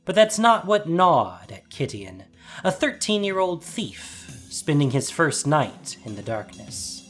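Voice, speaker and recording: Animated male story narrator. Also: a little high. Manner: dramatic, storytelling.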